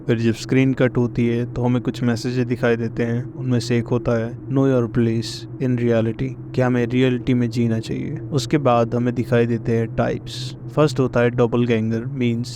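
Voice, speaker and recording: A man's voice: mid-range voice, level tone, unhurried, few pauses, noisy background. A man with an Indian accent narrating an explainer on a horror series, mixing Hindi with English phrases. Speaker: host and narrator.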